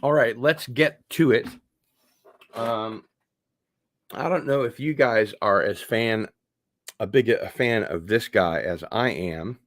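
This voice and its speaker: A man's voice: lowish voice, takes it slowly, long pauses, fairly bright tone, no room sound. A man hosting a casual unboxing livestream, rambling along with wry asides. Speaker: host of an online show.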